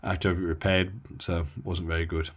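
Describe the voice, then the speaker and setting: A man from the Midlands demoing a radio at his bench in a casual, halting way with lots of fillers. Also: sits low, flat delivery. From Midlands of England. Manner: halting, many fillers and restarts. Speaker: radio hobby vlogger. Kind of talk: casual hands-on demo.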